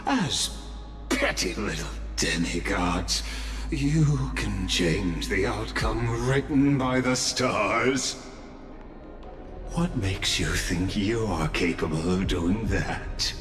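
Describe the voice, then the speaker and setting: An actor playing a haughty, menacing villain in a dramatic game story, talking down to others with mocking contempt. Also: male voice, on the low side, animated, takes it slowly. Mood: scornful. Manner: condescending, mocking. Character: haughty, menacing male antagonist scorning demigods. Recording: voice under clear background music.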